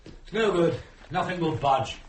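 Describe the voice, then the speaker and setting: Headstrong man bent on escape, agitated, fairly high voice, animated, faint hiss on a radio drama. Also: a little slow. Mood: desperate, defiant. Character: stubborn, desperate trapped man, bent on escape. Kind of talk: supernatural radio drama acting.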